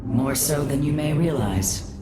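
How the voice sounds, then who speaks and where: An actress playing a solemn, wise alien warrior matriarch in a video game, speaking in a measured, formal way. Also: female voice, sits low, very noisy. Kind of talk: video game cinematic acting. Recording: echoing voice over loud ambience.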